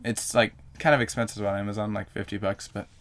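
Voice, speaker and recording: A young man with a fairly low voice talks straight to his camera in a loose, rambling vlog. He trips over his own words, corrects himself and fills gaps with ums and likes. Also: flat delivery, quick pace, fairly bright tone, slight background noise. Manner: rambling, self-correcting, lots of fillers. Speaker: vlogger.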